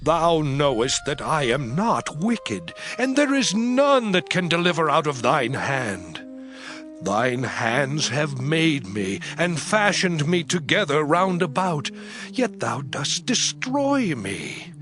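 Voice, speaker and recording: A sorrowful Job, played by a man, pleads with God in slow, unhurried King James phrasing. His fairly high voice swings up and down with feeling. Music plays clearly behind him in this dramatized scripture audio. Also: big pitch swings. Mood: anguished. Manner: lamenting, solemn King James delivery.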